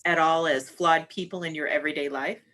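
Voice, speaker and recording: A woman's voice: low voice, fairly even intonation, fairly quick, bright, forceful tone. A woman hosting a casual live question-and-answer session over a video call. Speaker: host of a theatre Q and A.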